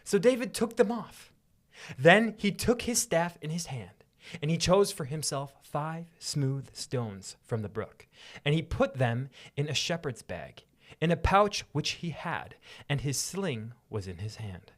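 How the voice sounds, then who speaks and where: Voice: medium pitch, very animated, unhurried. A podcast host narrating scripture as a story, mixed with casual banter.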